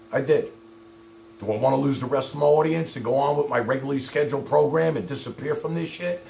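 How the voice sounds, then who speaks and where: A man's voice: on the high side, vocal fry, slight background noise. A man hosting a livestream, ranting at his viewers in a profane, confrontational, exasperated way with blunt rhetorical questions. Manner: addresses viewers directly with repeated rhetorical questions. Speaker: livestream host.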